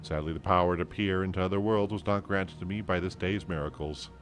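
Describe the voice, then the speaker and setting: Man acting a wandering bard, theatrical, archaic, wistful, fantasy game, soft music behind. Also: sits low, fairly even intonation, fairly quick, close to an everyday voice.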